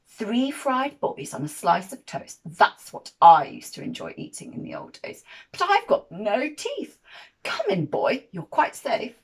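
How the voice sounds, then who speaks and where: A woman voicing a very old, toothless male giant in a children's story, gruff, demanding and given to fierce roaring. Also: a little high, wildly swooping pitch, measured pace, pitched above the performer's own voice, exaggerated voice, low-quality recording. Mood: hungry, wistful. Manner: roaring, fierce, swooping delivery.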